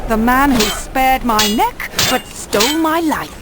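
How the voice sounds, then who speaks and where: Game role: a sharp-tongued, proud female warrior, fairly high and very animated, dramatic. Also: measured pace, very noisy. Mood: bitter. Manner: haughty, biting. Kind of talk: dramatic video game acting. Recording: loud music behind the voice.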